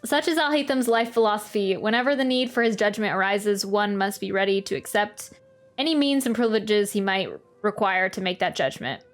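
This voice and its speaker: A woman's voice: mid-range voice, fairly quick. A woman doing a casual video game playthrough, reading game text aloud and adding wry asides. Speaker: game streamer. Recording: game music behind the voice. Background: video game music.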